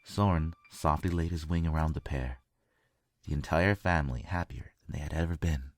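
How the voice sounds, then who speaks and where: A man's voice: rumbling low, moderate pace, no echo, clean studio sound. A man reading a scripted story as a dramatic narrator.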